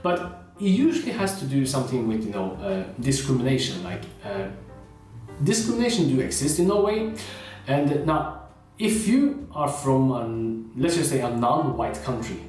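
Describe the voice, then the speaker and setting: Man, lively, echoey room. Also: medium pitch, normal speed. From China. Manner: conversational, many fillers like "you know" and "uh". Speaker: vlogger. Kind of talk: casual talk to camera. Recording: roomy echo, heard from a distance, with faint guitar music.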